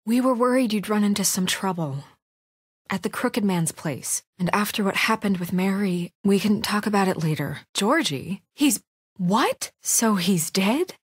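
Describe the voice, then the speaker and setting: A woman's voice: medium pitch, lively intonation, measured pace, breathy edge, no room sound, studio quality. An actress playing an authoritative, exasperated woman leader in a video game drama, speaking firmly and earnestly. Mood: concerned, subdued. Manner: firm, earnest, insistent, often exasperated. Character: authoritative, exasperated woman leader of a troubled fairy-tale community. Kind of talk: dramatic video game dialogue, naturalistic acting.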